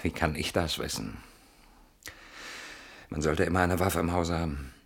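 A frightened, mistrustful husband in a radio play. His voice is deep and husky, with diction. He speaks in an urgent, insistent, hushed way. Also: fairly even intonation, measured pace, full character voice. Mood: hushed, wary. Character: fearful, suspicious husband convinced a stalker lurks outside. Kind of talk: German radio drama acting.

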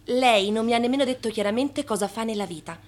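An actress playing a coy, teasing young secretary of twenty-one in an Italian radio drama, playfully protesting. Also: female voice, a little high, fast, lightly acted. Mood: amused, coy.

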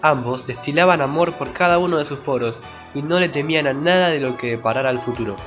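A man reading scripted mock-romantic narration in a florid, melodramatic way. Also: medium pitch.

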